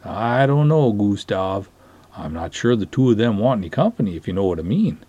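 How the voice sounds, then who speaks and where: A man's voice: on the low side, very animated, fairly quick, dark tone, husky, no echo, lo-fi sound. A man playing an easygoing canoe cop who talks in a folksy, joshing way to his pal in a story reading. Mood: casual. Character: teasing, easygoing male canoe cop buddy.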